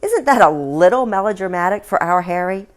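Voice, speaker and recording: Lady doing a bossy old queen in a storybook spoof, dry n sharp, lots of up and down. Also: fairly low pitch, lively intonation, fairly quick, natural voice. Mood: wry amusement. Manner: sharp, imperious, wry. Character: stern, drily amused elderly queen in a satirical fairy tale. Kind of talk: storybook dialogue reading.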